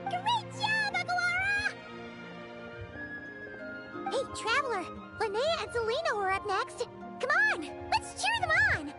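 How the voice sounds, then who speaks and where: A squeaky excitable game sidekick. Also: female voice, slow pace, pauses often, full character voice. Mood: cheering. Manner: chirpy, cheering. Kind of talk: video game voice acting. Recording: game audio with loud music.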